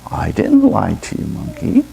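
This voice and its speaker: A performer voicing a wise male owl in a storytelling session, calm, measured and reasonable, gently giving advice. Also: sits high, vocal fry, slightly rough, pitched above the performer's own voice, heavily acted. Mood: calm, patient.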